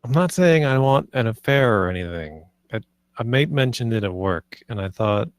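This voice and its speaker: A man's voice: mid-range voice, expressive, moderate pace, smooth, dry recording, natural voice. A British man reading the part of a nervous, earnest husband in a film script, with a hesitant, understated delivery. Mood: hesitant, anxious. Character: nervous, earnest husband proposing swinging to his wife. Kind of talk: film script table read, naturalistic dialogue.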